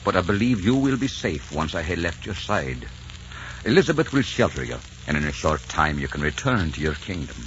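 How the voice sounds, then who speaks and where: Old radio romance: deep, theatrical nobleman lover, ardent, solemn, resolute, on a dull period recording. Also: very animated, brisk, a bit bright, slight background noise. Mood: bitter, urgent. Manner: theatrical, tender, solemn phrasing. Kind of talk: 1940s radio romantic drama acting. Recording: muffled 1940s radio recording with music.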